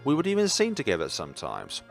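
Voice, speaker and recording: A man voicing a gentle, proud mother who reminisces wistfully about her son in a visual novel. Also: medium pitch, wildly swooping pitch, fast talker, gravelly, soft background music. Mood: nostalgic.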